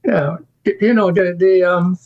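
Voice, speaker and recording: An older man with a Trinidadian accent, a guest analyst who speaks haltingly with many restarts and strong emphasis. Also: high voice, fairly even intonation, lo-fi sound. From Trinidad and Tobago. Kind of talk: current affairs discussion.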